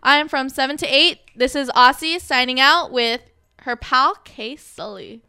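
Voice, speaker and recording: A woman's voice: high voice, unhurried, pushed and bright, clean tone, no room sound, clean studio sound. A young woman hosting a casual radio show, chatty and joking, with a lot of filler words.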